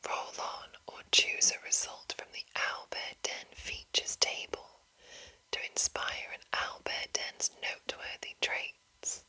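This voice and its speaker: Slow, whispering ASMR woman. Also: pushed and bright, poor audio. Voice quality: breathy, unvoiced whisper. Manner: slow, deliberate, pausing between short phrases. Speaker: ASMR channel host. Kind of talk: whispered ASMR reading of a game manual.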